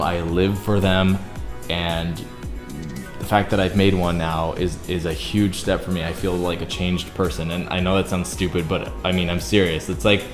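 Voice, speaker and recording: A young man with a very deep baritone voice, chatting earnestly to his viewers in a casual vlog, full of "like" fillers. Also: roomy sound. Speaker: YouTuber and singer.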